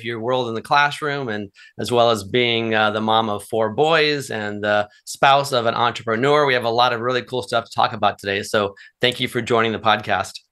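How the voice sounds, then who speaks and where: A man's voice: mid-range voice, bright, forceful tone. A man hosting a podcast, upbeat and chatty, reading a scripted intro then interviewing a guest. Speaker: podcast host.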